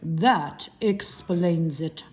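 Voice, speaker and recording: A woman voicing a mild storybook husband, reading his words slowly and with emphasis. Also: sits low, a little mellow, pitched below the performer's own voice. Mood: pleasantly emphatic. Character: mild, puckered storybook husband, adult man.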